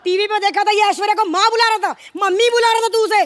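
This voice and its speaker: A woman playing a loud, dramatic, scolding village wife and mother in live TV sketch comedy. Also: very high-pitched, fairly even intonation, quick pace. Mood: scolding, outraged. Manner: theatrical, scolding, exclaiming. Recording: noisy stage with studio audience.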